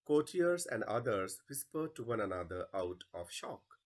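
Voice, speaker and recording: A man's voice: on the low side, very animated, a little slow. A man reading a play script aloud as a teacher, narrating the stage directions.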